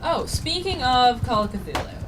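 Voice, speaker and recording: A woman's voice: high voice, very animated, normal speed. A woman author hosting a casual livestream, rambling with frequent laughs and fillers. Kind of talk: casual chat while streaming a game. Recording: distant mic with noticeable noise.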